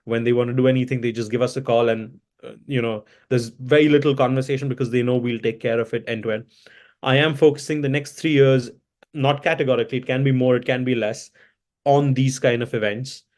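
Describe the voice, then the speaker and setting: A man with a hoarse voice answers interview questions at length. He rambles, restarts sentences and fills pauses with plenty of ums and uhs. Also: medium pitch, fairly even intonation, darkish tone. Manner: rambling, self-correcting, many fillers. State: hoarse from chanting. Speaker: interview guest, events entrepreneur.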